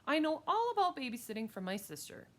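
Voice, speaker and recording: A woman's voice: high voice, big pitch swings, fast talker, pitched above the performer's own voice. A woman voicing a bossy, eager, cocksure young girl in a children's audiobook, with a pushy manner. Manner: pushy, pleading, sing-song teasing.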